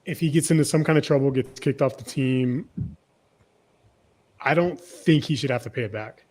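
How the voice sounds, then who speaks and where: Man with a mid-pitched voice, chatting. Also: normal speed, darkish tone. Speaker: podcast co-host. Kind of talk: casual podcast chat.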